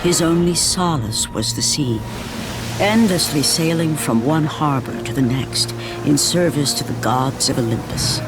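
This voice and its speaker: A grave storyteller of ancient myth, narrating an epic video game. Her delivery is solemn, measured and portentous, with orchestral music clearly underneath. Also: low-pitched, lively intonation. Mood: somber.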